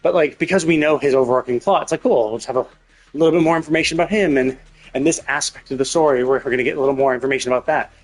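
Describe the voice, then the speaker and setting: A man's voice: medium pitch, racing. A man hosting a livestream, giving casual game commentary in a rambling way, thinking aloud as he goes. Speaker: livestream host. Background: game music.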